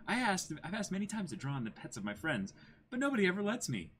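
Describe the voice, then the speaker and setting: A man's voice: sits high, wildly swooping pitch, fast talker, a bit bright, a little hiss or hum. A man in his twenties hosting a livestream, chatting casually and reading aloud in a jokey way, repeating short phrases. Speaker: streamer hosting a manga dramatic reading. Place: small indoor room with backdrop.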